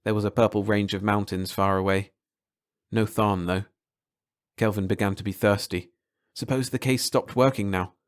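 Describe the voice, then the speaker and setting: A man narrating a short story aloud in a steady, clear reading. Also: lowish voice, normal speed. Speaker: audiobook narrator.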